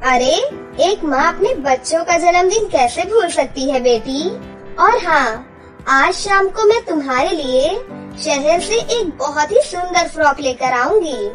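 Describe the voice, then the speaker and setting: A woman's voice: sits high, animated, measured pace, recorded from a distance, faint music behind. A woman voicing a devoted, hard-working mother in a cartoon story, reassuring and motherly. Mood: tender, reassuring. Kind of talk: cartoon voice acting.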